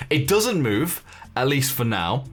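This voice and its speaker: A man hosts a gaming news video in a casual, chatty commentary style. His voice sits at an everyday middle pitch, with music playing behind him. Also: big pitch swings, measured pace, a bit bright.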